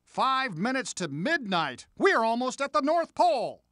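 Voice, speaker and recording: A man's voice: extremely high pitch, very animated, a little slow, fairly bright tone, no room sound, clean studio sound, full character voice. An actor playing a middle-aged male train conductor in a video game, formal, courteous and authoritative. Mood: brisk, courteous. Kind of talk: animated video game acting.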